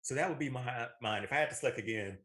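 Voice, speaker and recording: A man with a bright, forward voice and a middling pitch chats casually as a show co-host. He talks in an informal, conversational way. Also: fast. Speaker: co-host of a movie talk show. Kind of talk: casual chat.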